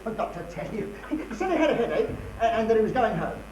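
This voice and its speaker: Man acting a high-pitched, flustered, stammering friend. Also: very animated, quick pace, dull audio. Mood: flustered reassurance. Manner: stammering, hesitant, backtracking. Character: flustered, awkward male friend trying to placate. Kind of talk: amateur stage comedy acting. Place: theatre stage, recorded live. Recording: distant echoey hall, loud hum.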